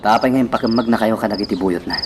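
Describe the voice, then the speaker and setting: A man's voice: lowish voice, rapid-fire, natural voice. A man with an Ilocano accent playing a devoted but vengeful young son in a radio drama, earnest and dramatic. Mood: concerned. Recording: loud insect and clicking noise.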